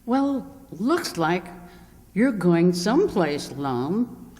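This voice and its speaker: A man's voice: high-pitched, very animated, extremely slow, darkish tone, heavily acted. A man playing an enthusiastic, wordy appliance salesman, emphatic as an advertisement, in an old-time radio comedy. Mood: curious. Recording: echoey hall, long reverb.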